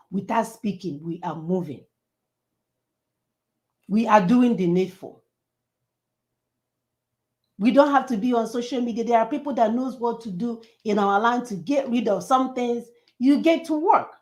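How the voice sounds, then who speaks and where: A woman speaks forcefully in commentary. Her voice is somewhat high. She delivers her points with a scolding edge. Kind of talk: fervent commentary.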